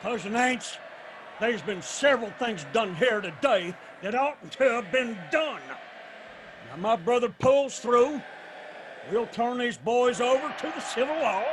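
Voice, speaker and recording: An actor playing a stern, defiant Appalachian clan patriarch out for vengeance in a radio drama. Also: male voice, extremely high pitch, lively intonation, unhurried, background noise. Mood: defiant, menacing.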